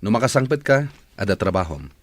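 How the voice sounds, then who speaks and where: A man's voice: on the low side, sing-song, fairly quick. A man playing a brash young company boss in an Ilocano radio comedy drama, with an Ilocano accent. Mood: curt, stern.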